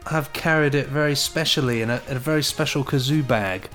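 A man of medium pitch co-hosts a podcast with faint music behind him. His chat is casual and jokey, excitable, and hesitant as he hunts for the right word. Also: brisk. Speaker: podcast co-host.

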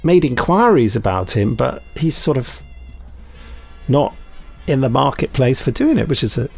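A British man with a gravelly, creaky voice at an ordinary male pitch co-hosts a casual podcast. He reads listener emails aloud in a jokey, digressive way, often breaking off mid-sentence to add asides. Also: very animated, moderate pace, darkish tone, faint music behind. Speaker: podcast co-host.

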